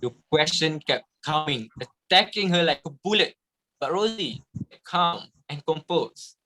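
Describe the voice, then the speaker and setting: A man narrating a story as a scripted dramatic reading, with theatrical, deliberate emphasis. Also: fairly high pitch, expressive, pushed and bright, low-quality recording.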